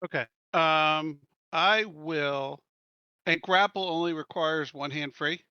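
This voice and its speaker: A man's voice: a little high, slow, pushed and bright, smooth, dry recording, dull audio. A man playing in a tabletop game, teasing the game master and asking rules questions. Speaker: player. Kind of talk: casual game chat.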